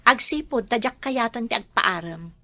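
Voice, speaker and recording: An actress with an Ilocano accent playing a stubborn, heartbroken young daughter in a radio drama, speaking firmly and emotively. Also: female voice, on the high side, expressive, normal speed, pushed and bright, no room sound, exaggerated voice. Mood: firm, resentful.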